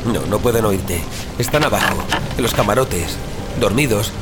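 A man's voice: fairly low pitch, animated, moderate pace, lightly acted, rough recording. A man with an accent from Spain playing a desperate, protective, doom-obsessed brother, urgent and pleading, in an audio drama. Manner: urgent, pleading, foul-mouthed. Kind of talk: Spanish audio fiction acting. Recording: dramatic music bed under the voice.

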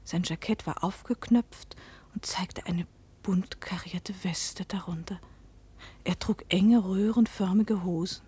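An actress in a German radio play as a guarded, dreamy, troubled woman, breathy and introspective, with measured literary delivery. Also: lowish voice, steady tone, mellow, exaggerated voice. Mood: uneasy, fearful. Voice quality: breathy, often dropping to a whisper.

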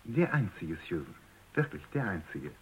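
Husky, deferential male scientist. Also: sits low, sing-song, normal speed, exaggerated voice. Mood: assuring. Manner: deferential, formal. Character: deferential male robotics company scientist, smoothly apologetic. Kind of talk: German radio drama acting. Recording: slightly dull radio recording.

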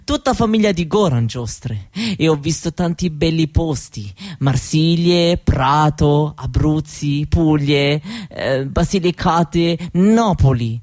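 A woman playing an old Roma grandmother in a radio monologue, gentle and wistful, speaking halting broken Italian with a Romani accent in short phrases with pauses. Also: deep voice, animated, no room sound, clean studio sound. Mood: fond reminiscing. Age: older.

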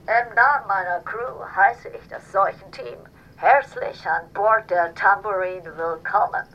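An actor playing a ship captain making a formal announcement over a filtered loudspeaker in a radio play. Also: mid-range voice, a little slow, distant mic, dull audio. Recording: band-limited, noisy ship loudspeaker.

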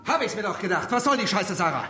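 Radio drama manipulative, menacing stranger: cold mockery, wide-swinging pitch, faint noise behind. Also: male voice, big pitch swings, fast. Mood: furious, scornful. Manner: taunting, interrogating, swings between hushed and explosive. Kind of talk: German radio drama acting.

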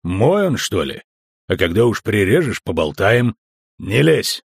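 A man voicing a sly, unhurried customs officer who speaks slowly and clearly, with folksy mockery, in an audiobook. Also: lowish voice, very animated, dry recording, studio quality, exaggerated voice. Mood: defiant. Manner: slow, clear, drawling, mockingly folksy.

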